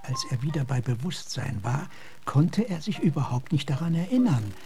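An actor playing an earnest, philosophical gentleman officer in a German radio drama, speaking in a measured, reflective way. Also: on the high side, big pitch swings, vocal fry, faint music behind. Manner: measured, reflective, sometimes hushed.

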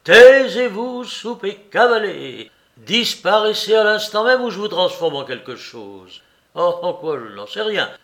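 Man playing a fed-up young clerk in his 20s, sarcastic, yells a lot, a bit high. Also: lively intonation, unhurried. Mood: furious. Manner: sarcastic, shouting, exasperated. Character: exasperated young male office clerk.

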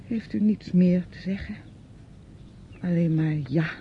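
Radio drama, muffled with faint rumble: reproachful younger mistress, high voice, theatrical, lingering delivery. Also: female voice, a little slow. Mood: taunting, accusing. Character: bitter younger woman, grieving mistress taunting her aunt.

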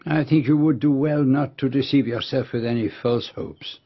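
Polite french detective in a radio play, bit husky, measured n sly, dull lo-fi sound. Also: male voice, medium pitch. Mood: courteous, inquiring. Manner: formal, polite, measured, probing. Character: courteous, shrewd foreign male detective, French-speaking, gently teasing. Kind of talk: radio drama acting. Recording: dull, lo-fi radio drama recording.

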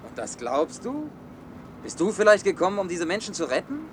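An actor playing a cynical, hard-headed man in a German science-fiction radio play, blunt and deliberate as he explains. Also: high-pitched, sing-song, slight background noise. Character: cynical, hard-headed male official defending his orderly world.

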